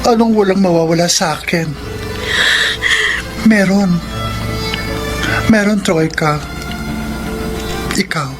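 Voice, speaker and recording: A man playing an attached young friend in a Tagalog radio romance, pleading in a halting, earnest way. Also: high-pitched. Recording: music under the voice.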